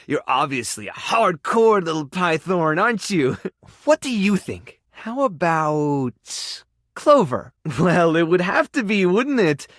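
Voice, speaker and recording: An actor playing a caring, anxious animal rescuer in a video game, with a friendly, earnest and encouraging manner. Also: male voice, high-pitched, slow pace, dry recording, clean studio sound, full character voice. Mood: delighted, playful. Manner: expressive, soft-hearted, encouraging, coaxing small creatures like pets. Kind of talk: video game character dialogue lines. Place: studio.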